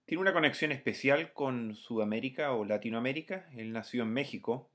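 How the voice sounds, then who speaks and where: A man hosting a podcast, reading a measured, formal introduction and interviewing. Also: medium pitch, a bit bright. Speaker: podcast host.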